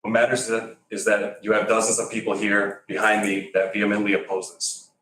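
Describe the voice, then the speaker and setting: Firm resident speaking low in echoey chamber. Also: male voice, level tone, brisk, background noise. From Greece. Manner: wry, firm, reads from notes. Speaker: resident giving public comment. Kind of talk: prepared public comment. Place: council chamber. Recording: echoey chamber, distant mic.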